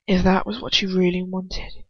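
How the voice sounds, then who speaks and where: A woman's voice: fairly low pitch, level tone, husky, dry recording. Woman reading as an intense whispering male lover, muffled. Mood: intent, challenging. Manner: hushed, whispering, deliberate. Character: intense, domineering male lover in dark fan fiction. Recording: dull lo-fi home recording.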